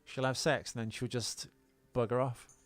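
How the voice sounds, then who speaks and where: A man's voice: mid-range voice, flat delivery, normal speed, dry recording, soft background music. Blunt British man chatting, close dry sound. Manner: blunt, sweary. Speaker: podcast co-host. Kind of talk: casual personal chat.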